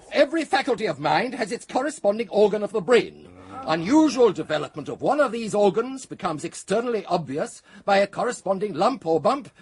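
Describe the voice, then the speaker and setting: An actor playing a pompous male phrenologist delivering a lecture in a radio drama, with a theatrical delivery. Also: high voice. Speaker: lecturer at a dinner party. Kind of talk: 1980s radio drama acting.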